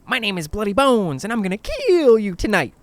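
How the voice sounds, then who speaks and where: A man's voice: high-pitched, big pitch swings, a little fast, dry recording, pitched above the performer's own voice. A man voicing a menacing, taunting boy who mimics a campfire bogeyman killer in a spoken story.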